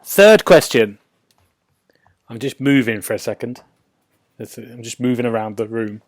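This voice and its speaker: A man's voice: medium pitch, a little slow. A man hosting a casual gaming podcast, chatting and putting questions in an informal way. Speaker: podcast host.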